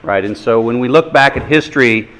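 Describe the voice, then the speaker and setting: A man lecturing a university class in a relaxed, conversational way, with self-deprecating jokes. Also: mid-range voice, fairly quick, low-quality recording. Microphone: microphone adjusted during talk.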